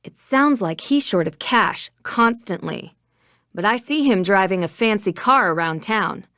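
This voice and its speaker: A woman's voice: mid-range voice, animated, a bit bright, no echo, studio quality. A young woman with an American accent playing an earnest, persistent amateur detective in a video game. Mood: earnest, pondering. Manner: polite, measured questioning.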